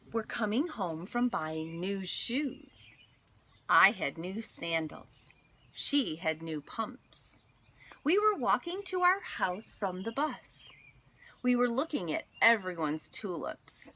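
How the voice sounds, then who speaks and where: A woman teacher reading a children's story aloud to students, expressive and deliberate, with dramatic pauses. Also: medium pitch.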